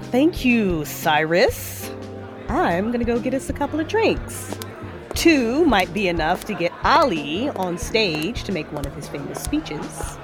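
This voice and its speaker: Woman playing playful, lively-voiced confident heroine. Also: medium pitch, unhurried, music in the background. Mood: pleasant. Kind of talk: audio drama acting.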